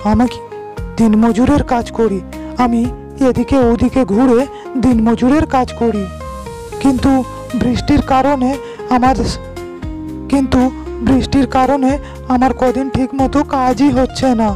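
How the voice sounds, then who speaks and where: Loud music sits under a humble village labourer played by an actor from a cartoon moral tale. He speaks with earnest deference, like an honest poor man addressing his better. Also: sits high, fairly even intonation, unhurried, long pauses. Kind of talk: Bengali animated moral story dialogue.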